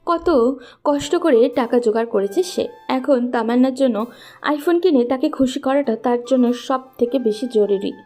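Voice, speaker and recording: A woman's voice: sits high, a little slow, darkish tone, soft background music. A woman narrating a scripted story as its storyteller.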